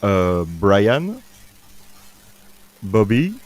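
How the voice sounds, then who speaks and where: A man co-hosting a casual live chat, adding slow side remarks. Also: lowish voice, sing-song.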